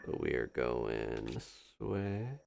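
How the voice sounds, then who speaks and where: A man's voice: a bass voice, sing-song, takes it slowly, creaky, over music. A man giving casual live video game commentary as a streamer, musing to himself and thinking aloud as he plays.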